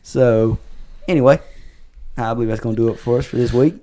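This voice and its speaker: A man's voice: medium pitch, brisk, mellow. A man hosting a casual podcast chat, rambling and correcting himself, his voice worn from a lot of talking. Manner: rambling, self-correcting, wraps up the show. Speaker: podcast host.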